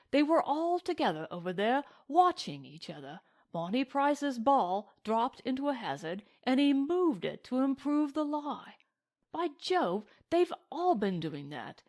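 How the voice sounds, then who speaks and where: A woman reading a storybook and voicing an excitable, indignant English gentleman with exclamatory, proper turns of phrase. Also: high-pitched, voice pushed up, full character voice. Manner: exclamatory, proper British slang. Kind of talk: storybook character voice in narration.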